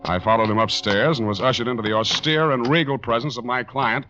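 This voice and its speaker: An actor playing a wry, unflappable hardboiled private detective in 1940s radio style. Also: male voice, mid-range voice, fairly quick, music in the background, natural voice. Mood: wry narrating. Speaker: detective narrator. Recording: dull old radio recording.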